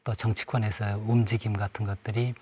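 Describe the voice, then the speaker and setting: A middle-aged man speaking as a guest on a studio panel, pausing often and filling gaps with short fillers. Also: lowish voice, flat delivery, a little mellow, slightly rough. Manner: hesitant, many pauses and fillers. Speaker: panel guest, former museum director.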